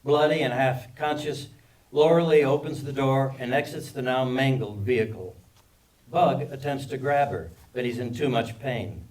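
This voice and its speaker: A man's voice: medium pitch, normal speed, darkish tone. Distant-miked man reading screenplay action. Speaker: stage-direction reader. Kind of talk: scripted narration of screenplay action. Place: live table read at a film festival. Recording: distant microphone at live reading.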